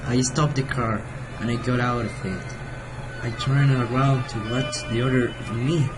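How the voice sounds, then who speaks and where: A man's voice: medium pitch, steady tone, a little slow, close to an everyday voice, rough recording. A teenage male student with Spanish as his first language, playing a haunted, frightened office worker narrating a horror radio play. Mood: alarmed. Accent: Chilean. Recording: loud music over the voice. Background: loud music.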